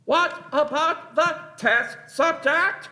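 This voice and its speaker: Shrill fanatical Dalek war machine. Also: male voice, slow, pushed and bright, heavily acted. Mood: clipped, urgent. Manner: chanting, staccato declarations. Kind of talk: sci-fi radio play acting.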